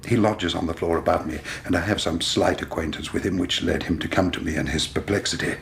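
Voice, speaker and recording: An actor playing a genial, indolent and superior British gentleman sleuth in a period radio drama, urbane and self-assured. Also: low-pitched, fairly even intonation, a little fast, creaky, slight background noise. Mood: leisurely, assured.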